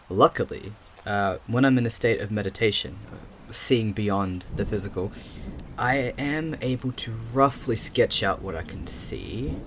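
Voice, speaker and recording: A man acting a hesitant psychic investigator in an audio drama, speaking in a halting, earnest, stammering way. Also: fairly low pitch, a bit bright, slight background noise. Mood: earnest, careful. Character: hesitant young male psychic investigator with lost memories.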